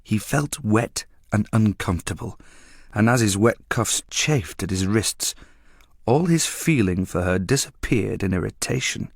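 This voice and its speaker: A low-voiced man reads a story aloud, steady and measured. The recording is dry and close. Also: vocal fry, dry recording. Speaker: narrator. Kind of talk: scripted story reading.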